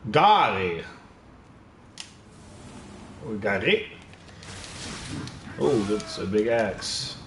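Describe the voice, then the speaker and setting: Young Dutch-accented male streamer, unhurried and chatty, lively intonation, echoey room with faint noise. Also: lowish voice. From Netherlands. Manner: slow, loose, chatty with viewers, swears casually. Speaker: gaming streamer. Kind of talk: casual live commentary while playing.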